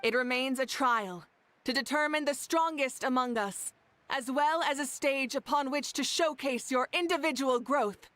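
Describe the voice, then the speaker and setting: A woman's voice: sits high, moderate pace, a bit bright, no echo. A woman voicing a commanding, formal female leader in a video game. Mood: solemn, rousing. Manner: formal, oratorical. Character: commanding, rousing female leader addressing her warriors.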